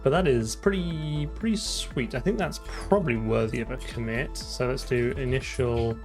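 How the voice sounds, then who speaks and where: A man's voice: mid-range voice, normal speed, darkish tone, faint music behind. A man chatting casually on a live coding stream, thinking aloud in an informal way. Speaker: streamer and game programmer.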